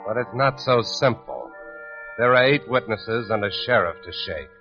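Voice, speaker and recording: Dramatic old-radio narrator, grave and low, dull archival recording with music underneath. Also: male voice, lively intonation, normal speed. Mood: grave, suspenseful. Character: grave male radio narrator addressing the hero.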